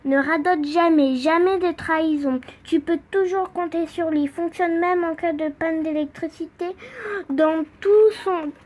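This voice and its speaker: A young female reader reading a children's book aloud in a halting, playful way, word by word. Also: extremely high pitch, fairly even intonation, darkish tone. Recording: faint background noise.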